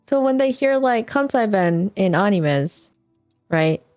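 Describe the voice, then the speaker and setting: A woman's voice: mid-range voice, lively intonation, slow pace, a little mellow, no echo, studio quality, soft background music. A woman from Japan whose first language is Japanese, speaking English with a Japanese accent in casual, hesitant chat. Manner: hesitant, searching for words. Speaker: guest.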